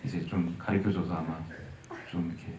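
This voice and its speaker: A man with a Busan accent speaks in a fairly low voice at a live Q&A, chatty and poking fun at himself. He is picked up from a distance in a big echoing hall, with clear noise around him. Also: flat delivery, a little fast. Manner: chatty and self-joking, laughs often. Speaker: film director at an audience talk. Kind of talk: casual talk at a live Q&A. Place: event hall.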